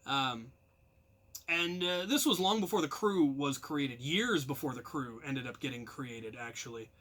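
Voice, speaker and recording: An American man telling a casual, rambling personal story in an animated, self-deprecating way. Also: medium pitch, unhurried. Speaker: storyteller and channel host.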